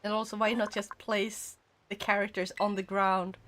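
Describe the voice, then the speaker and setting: A woman's voice: medium pitch, flat delivery, moderate pace, a bit bright, a little hiss or hum. A young woman with a light Swedish accent, casually chatting with viewers and giggling while she plays a game on stream. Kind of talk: casual live-stream chat while gaming.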